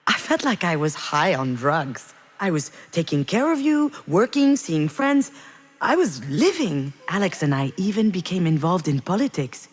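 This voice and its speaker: A reflective, grieving mother speaks in a low-pitched voice that rings with long reverb. Her delivery is introspective and measured, a confessional first-person monologue from a narrative video game. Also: sing-song. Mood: wistful, fired up. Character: reflective grieving mother, a screenwriter, recalling memories. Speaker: narrating protagonist of a visual novel. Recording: long reverberant hall echo.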